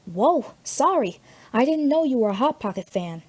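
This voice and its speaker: A woman's voice: fairly high pitch, big pitch swings, moderate pace, a little mellow, breathy edge, voice pushed up. Female narrator as teenage boy hero, wisecracking, breezy, sarcastic, chatty, audiobook dialogue. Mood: playful taunting. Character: wisecracking fifteen-year-old boy superhero, cheeky and chatty.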